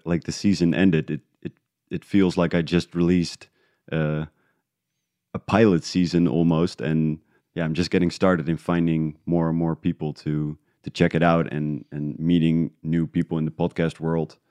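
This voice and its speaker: A man from the Netherlands, talking casually in an interview, halting as he thinks aloud. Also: low-pitched, fairly even intonation, mellow, clean tone, no room sound, clean studio sound. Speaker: guest, independent podcast creator.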